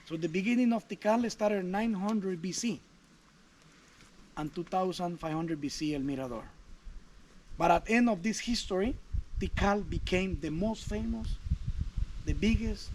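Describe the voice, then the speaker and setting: A man's voice: sits high, a little mellow, rough recording. A man guiding a tour outdoors at a jungle archaeological site, explaining chattily with restarts. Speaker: tour guide. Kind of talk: guided tour talk. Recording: faint outdoor noise.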